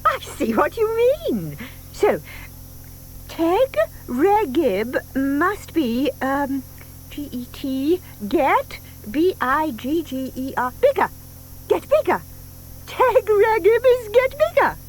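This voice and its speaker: A sweet older lady, with a high voice that rises and falls freely. She is hesitant, fluttery and trusting, unhurried, in a children's audio play on faintly hissy tape. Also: very animated, heavily acted. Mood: marvelling. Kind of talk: dramatised children's audiobook acting. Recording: faint hiss from old cassette tape.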